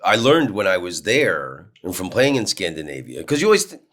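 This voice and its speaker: Lively low-voiced man hosting a chat. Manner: curious, asks quick follow-up questions. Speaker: podcast host, comedian. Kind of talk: casual interview.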